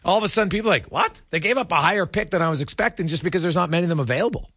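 A man's voice: high voice, lively intonation, rapid-fire, fairly bright tone, no echo, clean studio sound. A man hosting a casual sports talk show, conversational and often checking in with his guest.

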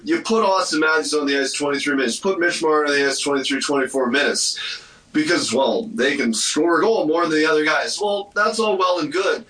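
A man's voice: medium pitch, bright, forceful tone. A man giving casual sports commentary as a radio guest, in an opinionated, emphatic manner. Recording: roomy, slightly distant mic.

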